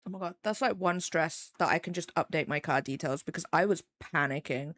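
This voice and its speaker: A woman's voice: low voice, a little fast, fairly bright tone, dry recording. A young English woman with a faint Midlands accent, chatting casually with her live-stream chat while she draws, rambling and self-deprecating. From England.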